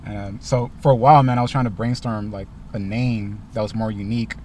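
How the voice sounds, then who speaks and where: A young man from Los Angeles, a rapper, answering interview questions in a car in a relaxed way, with lots of ums and likes. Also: lowish voice, brisk, far from the mic, slight background noise. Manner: laid-back, many ums and likes. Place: inside a parked car.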